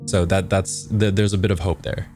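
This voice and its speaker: A man's voice: low-pitched, flat delivery, normal speed, a little mellow. A man playing a tabletop game, thinking aloud in a hesitant way with many restarts. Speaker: player. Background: background music.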